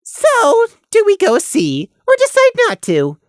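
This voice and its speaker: A small, suspicious lamb from a fantasy storybook, voiced by a man, speaks in a raised, swooping pitch. He is scowling and insistent, full of grumbling resentment. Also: wildly swooping pitch, measured pace, no echo, clean studio sound, full character voice. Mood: wary, insistent.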